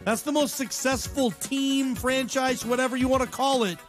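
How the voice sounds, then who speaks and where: An American man with a notably high-pitched voice hosts sports talk radio. He comments casually and with strong opinions, using plenty of slang and repeating himself for emphasis. Faint music plays behind him. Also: fairly even intonation, moderate pace. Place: radio show.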